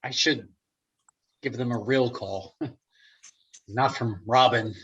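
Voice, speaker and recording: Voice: mid-range voice, slow, fairly bright tone. An actor playing a reluctant, anxious man in a comedy table read, blunt and protesting, over a muffled, noisy video call. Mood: subdued. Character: reluctant, anxious male best friend named Dave.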